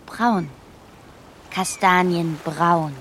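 A woman's voice: fairly low pitch, drawn-out words, fairly bright tone, slight background noise, rough recording. A woman playing a dreamy, imaginative and earnest teenage girl in a radio play. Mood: dreamy, matter-of-fact. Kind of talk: German radio play acting.

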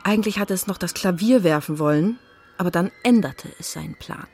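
A woman's voice: lowish voice, a little fast, a little mellow, breathy edge. A woman narrating a children's radio play in a scripted storytelling style. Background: music.

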